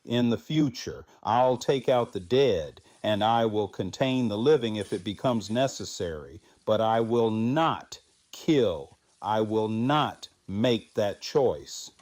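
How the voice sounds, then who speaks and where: A man playing a calm, firm pacifist in an audio drama, speaking in a measured, earnest way. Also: fairly low pitch, no room sound. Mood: earnest, resolute.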